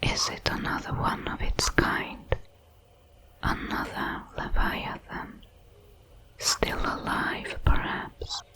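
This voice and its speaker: A woman voicing a detached, curious alien creature in a sci-fi ASMR roleplay, whispering in slow, deliberate, clinical phrases. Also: sharp tone. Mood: curious, measured. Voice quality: breathy whisper.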